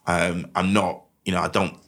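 Deep-voiced man with soft Mancunian accent. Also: little pitch movement. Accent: diluted Mancunian. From Manchester, England. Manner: halting, repeats and rephrases words. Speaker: podcast guest, investment professional. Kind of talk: candid conversational interview, telling life stories.

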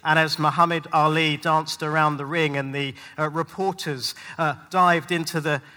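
A man preaching a sermon to a congregation in an emphatic, rhetorical manner with dramatic pauses. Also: on the high side, steady tone, pushed and bright. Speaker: pastor, guest preacher. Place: large hall at a live church event. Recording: long hall reverb.

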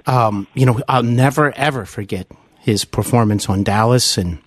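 A man's voice: mid-range voice, normal speed. A man hosting a podcast interview, asking earnest, effusive questions in a hesitant, roundabout way. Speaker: podcast host interviewing a guest.

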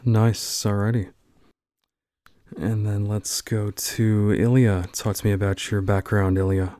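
A man's voice: fairly low pitch, flat delivery, a little slow, dark tone, no echo. A man hosting a university podcast roundtable, introducing guests and asking questions with plenty of filler words. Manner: friendly, filler-heavy interviewer. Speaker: podcast host.

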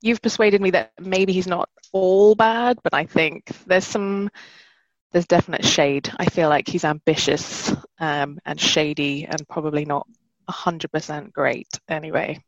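A woman's voice: sits low, steady tone, measured pace, dry recording, muffled recording. A woman co-hosting a podcast, chatting casually with hesitant fillers and self-mocking jokes. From Britain. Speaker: podcast co-host. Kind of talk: casual chat.

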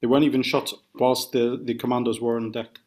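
A man's voice: medium pitch, flat delivery, a little fast, darkish tone. A man, a journalist guest on an interview podcast, recounting events in careful detail. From United Kingdom.

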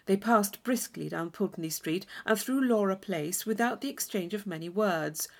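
A woman's voice: mid-range voice, normal speed, vocal fry. A woman reading a classic novel aloud as scripted audiobook narration.

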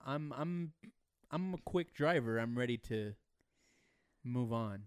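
A man's voice: medium pitch, animated, takes it slowly, clear, resonant tone, dry recording, clean studio sound. A man hosting a casual car podcast, asking questions in a conversational, teasing way. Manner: conversational, teasing, frequent agreement. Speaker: podcast host.

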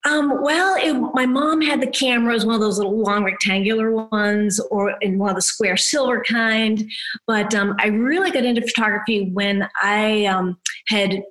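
A woman in her fifties from New Hampshire, a photographer chatting as an interview guest, talkative and quick to laugh. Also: mid-range voice, poor audio. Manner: chatty, laughs often, lively quoted voices. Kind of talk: casual interview chat.

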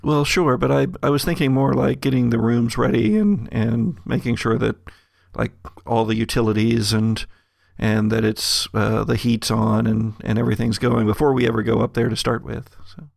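A man's voice: mid-range voice, steady tone, moderate pace, gravelly, no room sound, studio quality. A man hosting as game master, improvising tabletop game narration and talking conversationally to his players. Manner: conversational, addresses players directly. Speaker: game master and podcast host.

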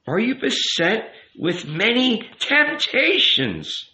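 A man's voice: on the high side, animated, slow, sharp tone, voice pushed up, full character voice. A man voicing an old rabbi in an audiobook, tender and earnest, coaxing and emphatic as he counsels. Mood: tender urging. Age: older. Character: old rabbi uncle, tender, pleading, gently commanding confessor. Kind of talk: dramatic audiobook character reading. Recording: roomy, echoing, slightly dull sound.

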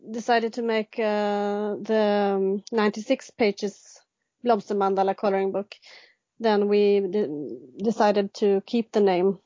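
A Swedish woman in her thirties speaks English with a clear Swedish accent as a podcast guest. She hesitates often, filling gaps with ums and restarting her sentences. The sound is dry and slightly dull, with an ordinary pitch. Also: dark tone, no room sound. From Sweden. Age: 30s. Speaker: guest illustrator. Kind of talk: casual interview answers.

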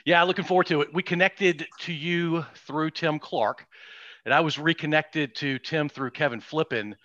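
Male podcast host in his 50s, friendly and halting, crisp bright tone, dry room. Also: a little high, no room sound, lo-fi sound. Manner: friendly, hesitant with fillers. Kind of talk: casual interview chat.

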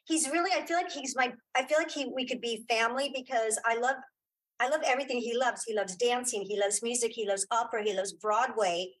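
A woman's voice: on the high side, a little fast, fairly bright tone, breathy edge, rough recording. A middle-aged woman chatting casually as an interview guest, effusive and emphatic, often repeating her phrases. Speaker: interview guest, audio drama creator and filmmaker.

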